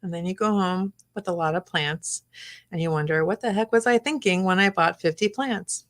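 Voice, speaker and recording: Craft host, female, lowish voice, chatty and a little hoarse, live and unscripted. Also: moderate pace. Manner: chatty, talks to her artwork, drops to whispers while working. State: losing her voice, clearing throat. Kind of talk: casual live-stream chat while crafting.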